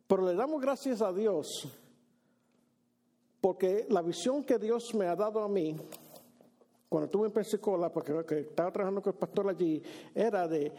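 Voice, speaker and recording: A man's voice: sits high, moderate pace, long pauses, warm tone. A man from Brooklyn preaching a sermon in a church, speaking conversationally with frequent restarts and occasional English phrases. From Brooklyn, New York. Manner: conversational, many restarts and repeated words, mixes in English phrases. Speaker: pastor. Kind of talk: sermon with Bible reading. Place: church sanctuary.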